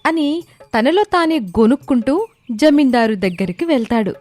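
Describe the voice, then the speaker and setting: A woman's voice: a little high, animated, moderate pace, a little mellow, soft background music. A woman giving scripted narration of a moral story as its storyteller.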